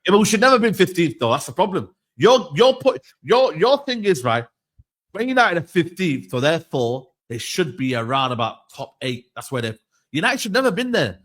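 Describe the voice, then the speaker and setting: A man's voice: fairly high pitch, sing-song, a little fast, fairly bright tone. Rambling man in his thirties. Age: 30s. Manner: rambling, repeats himself, stumbles over words. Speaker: podcast host. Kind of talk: heated casual football debate.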